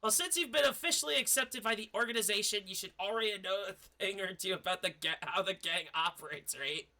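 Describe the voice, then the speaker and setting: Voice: medium pitch, quick pace, bright, forceful tone. A streamer as officious, chatty little robot guard. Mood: suspicious. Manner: questioning, self-important.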